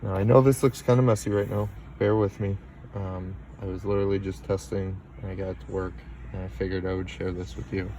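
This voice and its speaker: A man's voice: low-pitched, little pitch movement, fairly quick, warm tone, slight background noise, poor audio. A man talking casually and plainly as he gives a hands-on tutorial as a car audio installer.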